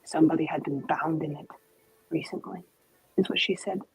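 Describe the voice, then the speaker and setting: A woman playing a coy, gossiping noblewoman in a tabletop game, speaking in an arch, theatrical way with hesitant, drawn-out phrasing. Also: deep voice, steady tone, vocal fry, breathy edge, voice pushed down, exaggerated voice, rough recording. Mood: conspiratorial. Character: gossiping, coy noblewoman feigning disbelief at lurid rumors.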